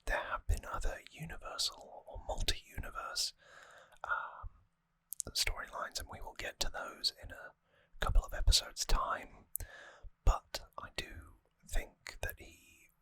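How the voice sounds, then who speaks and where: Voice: unhurried, pushed and bright, no echo. An ASMR host whispering close to the microphone in a musing, conversational commentary style. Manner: musing, conversational trivia talk. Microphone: close microphone, dry sound.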